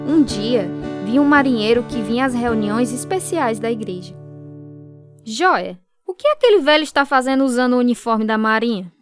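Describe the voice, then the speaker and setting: An actress performs a young woman telling her own story in a radio drama. Her voice is on the high side, earnest and a little hesitant, with music clearly playing behind her. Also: expressive, moderate pace, lightly acted. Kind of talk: dramatized first-person radio narration.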